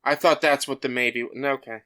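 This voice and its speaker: A man's voice: medium pitch, fairly even intonation, brisk, fairly bright tone. A man co-hosting a podcast, chatting loosely with lots of fillers and running through the night's agenda. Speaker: podcast co-host. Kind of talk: casual chat.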